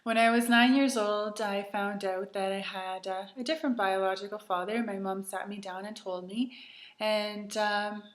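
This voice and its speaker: Woman, thirties, mid-pitched, earnest chatty storyteller with lots of ums, recorded in an echoey room. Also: fairly even intonation, normal speed. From Canada. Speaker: retreat host. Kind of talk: personal storytelling to camera.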